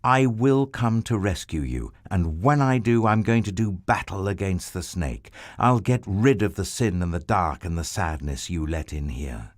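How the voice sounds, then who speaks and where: A man voicing God as a loving, sorrowful father, speaking gently and earnestly. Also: deep voice, lively intonation, measured pace, hardly any pauses, no room sound, studio quality. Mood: promising.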